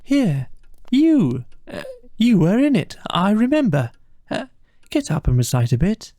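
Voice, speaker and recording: Man voicing a high, flustered storybook mole. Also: wildly swooping pitch, measured pace, warm tone, no echo, studio quality, heavily acted. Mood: eager, prompting. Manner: flustered, hesitant stammer. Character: eager, fretful, sociable male mole.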